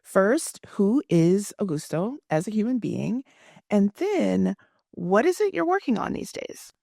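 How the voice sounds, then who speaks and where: A woman hosting an interview, friendly and quick to laugh. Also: medium pitch, very animated, creaky, warm tone, no room sound, clean studio sound. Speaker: interview host. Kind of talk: interview conversation.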